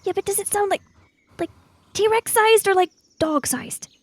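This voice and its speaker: Woman acting out young girl, high voice, nervous, halting, unsure, tabletop role-play. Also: dry recording. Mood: frightened, urgent. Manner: stammering, unsure. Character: frightened, hesitant kid named Lizzie, girl.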